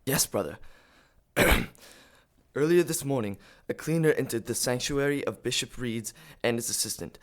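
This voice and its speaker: An actor playing a dutiful acolyte in an audio drama, deferential and formal, as if reciting an official report. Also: male voice, medium pitch, unhurried, close to an everyday voice.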